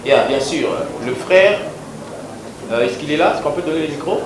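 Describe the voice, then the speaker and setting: A man's voice: on the high side, lively intonation, background noise. A man moderating a press conference, calling on journalists and handing over the microphone. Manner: brisk, hands over the mic, hesitant fillers. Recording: echoey hall, far from mic, crowd.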